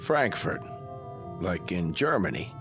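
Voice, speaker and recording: Low-voiced, blunt American man. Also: big pitch swings, takes it slowly, gravelly, a bit bright, soft background music, exaggerated voice. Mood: nosy. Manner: folksy, blunt. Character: blunt, chatty American government man travelling by sea. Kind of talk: radio drama acting.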